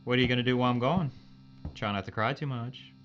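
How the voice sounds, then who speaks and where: A man's voice: mid-range voice, quick pace, a bit bright, highs cut off, close to an everyday voice. A man playing a loyal, wry spaceship crewman and tinkerer in an audio drama, talking casually. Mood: wry, practical.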